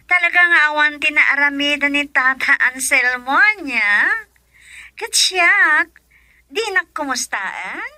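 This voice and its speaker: Nosy, gloating female acquaintance for radio drama: very high, piercing, slow and mocking. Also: expressive, pushed and bright, no echo, full character voice. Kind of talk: Ilocano radio drama acting.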